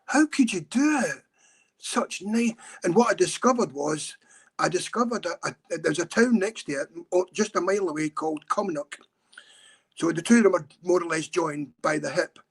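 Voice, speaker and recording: A man's voice: high-pitched, animated, normal speed, husky. A middle-aged Scottish man telling a story in an earnest, urgent way. He talks a lot, in a casual podcast chat. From Scotland. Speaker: campaigner and guest.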